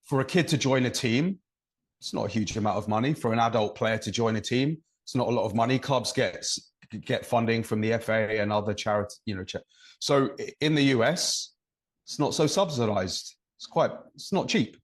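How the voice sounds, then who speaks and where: A man's voice: mid-range voice, a little fast. English man explaining things thoughtfully. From England. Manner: thoughtful, explains at length with examples. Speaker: referee and podcast co-host. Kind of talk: podcast conversation.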